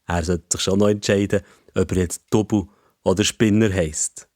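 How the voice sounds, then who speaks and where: A man's voice: deep voice, fairly even intonation, normal speed, a little mellow, soft background music. A man with a Swiss accent telling a scripted story for children as narrator.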